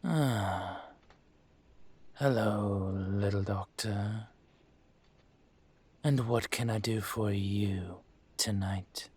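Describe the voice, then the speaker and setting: A man playing an aging dragon mafia boss in a roleplay audio drama, speaking in a smooth, deliberate, courtly manner with veiled menace. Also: on the low side, a little mellow, full character voice. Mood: suave, courteous. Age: older.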